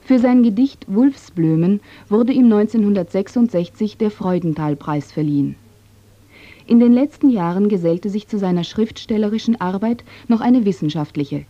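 A woman reading a scripted radio feature narration in a calm, even way. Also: mid-range voice, dry recording. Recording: dry, dull lo-fi broadcast recording.

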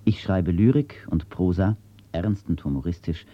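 A man, a writer, reading his own words and reciting nature poetry in a slow, measured, solemn way, from an old archive recording. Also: deep voice, animated, warm tone, lo-fi sound. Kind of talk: poetry recitation and read-out statements.

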